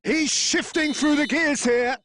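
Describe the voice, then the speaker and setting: A man's voice: extremely high pitch, unhurried, fairly bright tone. A man voicing a football video game's play-by-play commentator, with dramatic, punchy phrasing. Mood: excited.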